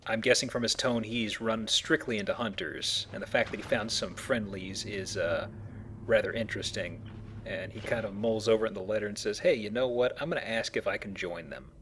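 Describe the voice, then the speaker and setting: Low-voiced man doing casual game commentary. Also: fairly quick, fairly bright tone, a little hiss or hum. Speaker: let's play host playing the game.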